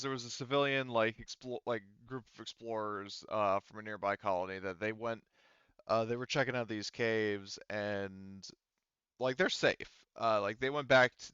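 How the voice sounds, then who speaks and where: Smooth, clear-voiced man running a tabletop game. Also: fairly low pitch, fairly bright tone, no room sound, highs cut off. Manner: halting, thinks aloud. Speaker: game master. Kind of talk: running a tabletop roleplaying game, casual improvised explaining.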